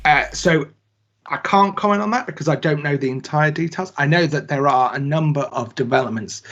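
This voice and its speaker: A man's voice: fairly high pitch, fairly quick, fairly bright tone. A male guest speaker answering questions on a video call, hesitant with many restarts. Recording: dull video call audio.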